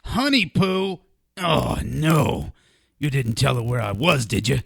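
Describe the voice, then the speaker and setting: A man's voice: medium pitch, sing-song, slow pace, creaky, fairly bright tone, full character voice. An actor playing a cranky, put-upon male sidekick in a comedic audio drama, mouthy and full of exasperated complaints. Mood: evasive, grumbling.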